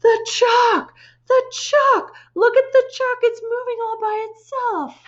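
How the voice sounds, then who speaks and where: A little boy shrieks in a piercing, hysterical voice, as a woman plays him in a storybook. The pitch sits very high. The recording is a little muffled. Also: steady tone, takes it slowly. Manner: screaming, shrill. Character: hysterical shrill small schoolboy.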